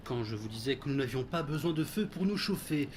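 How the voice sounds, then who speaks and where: An actor playing a fussy, devoted butler in an audio drama, speaking in a formal, wordy, deferential way. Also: male voice, medium pitch, expressive, fairly quick, slight background noise, natural voice. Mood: uneasy, indignant.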